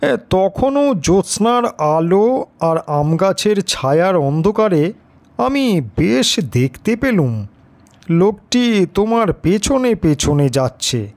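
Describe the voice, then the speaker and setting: Man acting as elderly village uncle, high voice, very slow, halting, astonished, insistent, audio storybook. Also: sing-song, a little mellow, exaggerated voice. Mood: puzzled, insistent. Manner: halting, drawn-out, with hesitant interjections.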